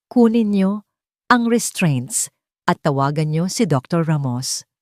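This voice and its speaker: A woman's voice: lowish voice, lively intonation, slow, no room sound, clean studio sound. A woman voicing a capable young female nurse who speaks politely but firmly. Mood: urgent. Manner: polite, firm and urgent.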